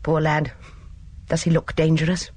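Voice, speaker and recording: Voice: mid-range voice, monotone, a little mellow, slightly rough, lightly acted. A narrator voicing a composed but irritable older husband, curt and dismissive, in an audiobook story. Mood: testy. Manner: curt, testy, brisk.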